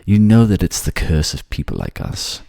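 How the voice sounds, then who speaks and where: A man's voice: deep voice, wildly swooping pitch, moderate pace, vocal fry, mellow, dry recording, studio quality, exaggerated voice. A man playing a suave, manipulative fantasy ruler who coaxes his captive in an intimate ASMR audio drama. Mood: tender teasing. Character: suave manipulative male water-folk ruler, soothing captor.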